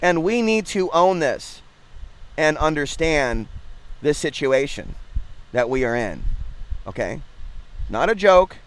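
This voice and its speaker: A man's voice: fairly high pitch, big pitch swings, slow, pauses often, poor audio. A man delivering an impassioned, preachy monologue as an online video host, with a confrontational, grandiose and profane manner.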